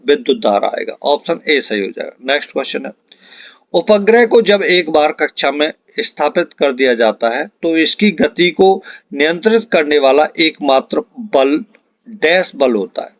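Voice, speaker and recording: A man teaching exam prep, reading out quiz questions and answers in an instructional way with deliberate repetition. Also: mid-range voice, dry recording. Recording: dull, lo-fi close dry recording.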